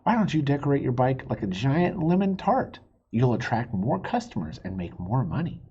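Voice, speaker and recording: A man's voice: medium pitch, big pitch swings, normal speed, mellow, breathy edge, lo-fi sound. A man reading the part of a practical, jokey father in a children's storybook, confident and persuasive. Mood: eager.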